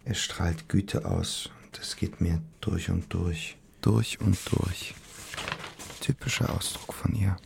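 An actor playing a brooding, stubborn young poet and bricklayer in a radio play, musing and understated. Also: male voice, low-pitched, takes it slowly, gravelly, noisy background, full character voice. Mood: pensive. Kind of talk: radio drama acting.

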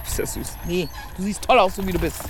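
A woman's voice: fairly low pitch, fast, noisy background, close to an everyday voice. An actress playing a casual, reassuring and conspiratorial village woman in a naturalistic radio play. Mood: coaxing. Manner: casual, coaxing.

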